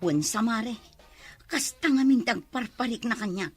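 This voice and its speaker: A middle-aged woman with an Ilocano accent, acting in a comedy drama, loud and emotional as a wife who suspects her husband. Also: on the high side, expressive, measured pace, faint music behind.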